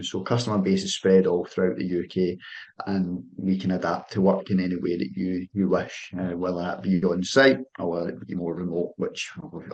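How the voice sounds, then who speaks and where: A young Scottish man presenting a business software webinar over a video call, friendly and full of "um"s and restarted phrases. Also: low voice, lo-fi sound. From Scotland. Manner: filler-heavy, restarts phrases, friendly sales tone.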